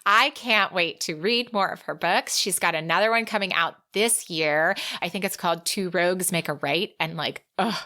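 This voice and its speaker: A woman's voice: lowish voice, moderate pace, few pauses, bright, forceful tone. A woman hosting a podcast in casual chat, talking in an enthusiastic, gushing way. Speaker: podcast host.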